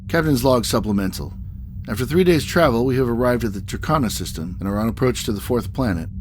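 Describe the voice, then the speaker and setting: A man playing a composed, diplomatic starship captain in a science-fiction audio drama, crisp and commanding. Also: mid-range voice, lively intonation, fast, a little mellow, slight background noise, lightly acted. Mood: formal log.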